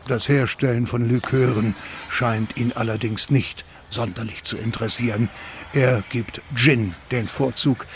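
An actor playing a fussy Parisian landlord who reports as an informant in a radio play, formal, stilted and prim. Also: male voice, medium pitch, a little hiss or hum, natural voice. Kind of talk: radio play monologue, formal written report read aloud.

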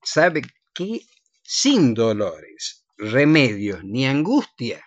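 Argentine guy hosting radio, joking around in casual banter, mid pitch, kinda dull lofi audio. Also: big pitch swings, very slow. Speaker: radio show host.

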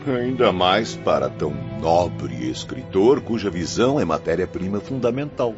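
An actor with a Brazilian accent playing a florid, self-pitying gambler's spirit in a radio soap, with dramatic phrasing. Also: male voice, deep voice, very animated, normal speed. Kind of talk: radio soap opera acting. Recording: dull lo-fi audio, faint music.

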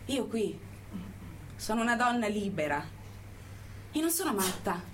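A woman's voice: mid-range voice, sing-song, measured pace, slight background noise. An actress playing a proud, angry imprisoned activist in her thirties, declaiming a political theatre monologue with rhetorical emphasis. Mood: bitter, resolute. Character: defiant jailed activist woman of thirty-three, proud and angry.

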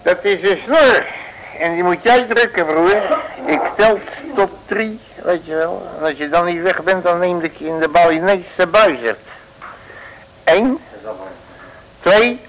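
An actor playing a brash, streetwise detective who talks bluff slang, in an old radio play. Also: male voice, high-pitched, unhurried, a bit bright, poor audio. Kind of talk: 1950s radio play acting. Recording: old radio broadcast with crowd noise.

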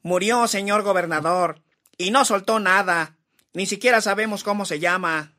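An actor playing a dutiful, ruthless henchman in a radio drama, speaking in a brisk, matter-of-fact way. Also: sits high, sharp tone. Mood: deferential, grave.

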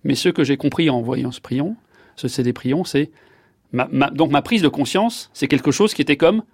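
A man's voice: medium pitch, moderate pace, no echo, clean studio sound. A man in his fifties, a neurologist guest, giving long reflective answers with many fillers and restarts. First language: French.

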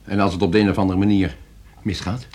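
Male actor as nervy hitman, low voice, terse, hesitant, radio play. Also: little pitch movement, fast talker, darkish tone, natural voice. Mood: terse, wary. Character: edgy hired killer taking instructions.

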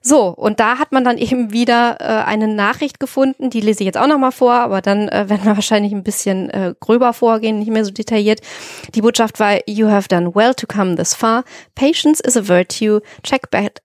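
A woman's voice: mid-range voice, fairly quick, dry recording, clean studio sound. A woman co-hosting a podcast, explaining things conversationally and in careful detail, step by step. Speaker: podcast co-host.

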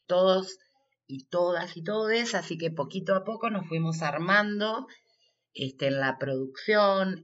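A woman's voice: low voice, fairly bright tone, lo-fi sound. A woman with an Argentine accent answering interview questions as a workshop coordinator, explaining things step by step.

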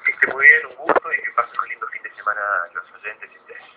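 A man's voice: medium pitch, very noisy. Argentine man explaining on a tinny phone line. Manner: halting, didactic, with repeated words. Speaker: guest expert, psychologist. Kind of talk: radio interview, explaining. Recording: phone-like narrow radio line.

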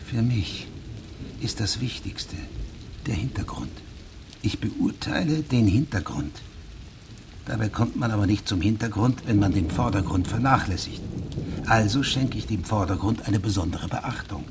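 Male, middle-aged stiff, self-righteous judge for radio drama. Lowish pitch, precise formal delivery, clear rain and thunder noise. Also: expressive, unhurried. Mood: self-satisfied, exacting.

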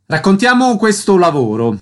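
A man's voice: sits high, very animated, normal speed. A man hosting a radio programme, presenting details and putting concise questions to guests. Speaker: radio interviewer and host.

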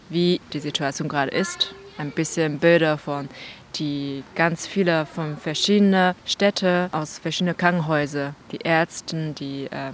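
Low-voiced woman. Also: a little hiss or hum. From China. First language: Chinese. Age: 30s. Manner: halting, searching for words in imperfect German. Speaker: interviewee. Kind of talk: casual interview speech.

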